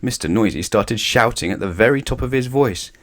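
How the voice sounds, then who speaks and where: A man narrating a children's storybook aloud in an expressive read-aloud style. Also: medium pitch, fairly quick.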